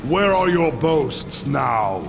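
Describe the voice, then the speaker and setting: Gravelly, arrogant robot warlord. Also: male voice, fairly high pitch, animated, slow, very noisy, full character voice. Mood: mocking. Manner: imperious, theatrical shouting and sneering. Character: ruthless, arrogant warlord robot, commanding the Decepticons. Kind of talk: video game cutscene acting. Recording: loud music over the voice.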